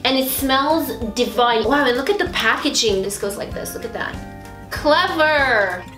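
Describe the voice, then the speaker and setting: A bubbly woman gushes over beauty products in a home vlog. Her voice sits fairly high and carries a roomy echo. A music bed plays underneath. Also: unhurried. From Romania. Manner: bubbly and gushing, with squeals. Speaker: beauty vlogger. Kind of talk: unboxing haul vlog. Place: small bright indoor home studio.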